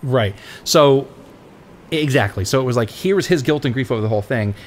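A man's voice: mid-range voice, animated, brisk, slight background noise. A middle-aged man co-hosting a podcast, chatting casually and rambling through a story with many fillers. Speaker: podcast co-host. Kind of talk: casual chat.

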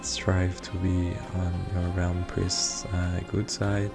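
A man's voice: low-pitched, completely flat delivery, slow pace, creaky. A man whose first language is Persian, talking through a strategy game in hesitant commentary full of ums and uhs over background music. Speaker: strategy game video reviewer. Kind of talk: unscripted gameplay commentary.

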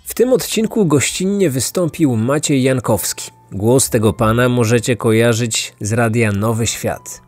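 A man's voice: mid-range voice, unhurried, few pauses, darkish tone. Male true crime narrator over music. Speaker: podcast host and narrator.

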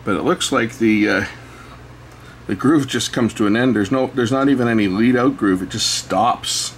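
Man rambling over clear background noise. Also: medium pitch, normal speed. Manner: rambling, thinking aloud. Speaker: host showing old records. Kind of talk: casual hands-on commentary. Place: indoors at a cluttered electronics workbench.

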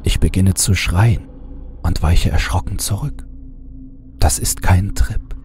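A man narrating a scripted horror audiobook in a deliberate, suspenseful manner, sometimes hushed. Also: sits low, faint music behind.

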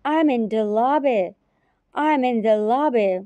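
A woman teacher repeating short phrases slowly and carefully in a repetition drill. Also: on the high side, dark tone, no echo, muffled recording. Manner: repeats each phrase three times, carefully.

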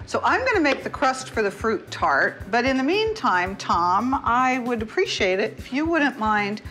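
A woman's voice: a little high, very animated, normal speed, pushed and bright, low-quality recording. A middle-aged woman hosting a cooking show, explaining each step in a home kitchen. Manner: explanatory, friendly instruction. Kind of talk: cooking demonstration. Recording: music bed underneath.

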